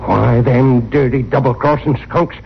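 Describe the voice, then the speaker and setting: A man playing an excitable, grumbling old cowboy sidekick with folksy exclamations in an old-time radio western. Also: mid-range voice, a little slow, creaky, breathy edge. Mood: indignant, grumbling. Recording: dull, noisy old radio recording.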